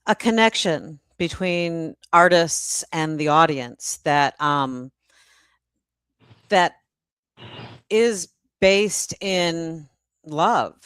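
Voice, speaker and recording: A woman hosting a casual live-stream chat, speaking haltingly with pauses mid-phrase. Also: low-pitched, animated, fairly bright tone, clear, resonant tone, no room sound. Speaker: host and publisher.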